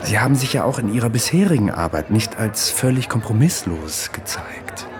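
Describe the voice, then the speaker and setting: An actor playing a flattering, insistent government emissary of the Nazi regime in a German radio drama, polite and smoothly persuasive. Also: male voice, mid-range voice, moderate pace, noisy background, close to an everyday voice. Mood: insinuating.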